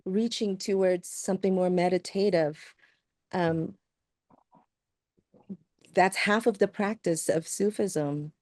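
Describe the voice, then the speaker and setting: A woman panelist in a conversation, speaking in a thoughtful, measured way. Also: medium pitch, darkish tone, no room sound, clean studio sound.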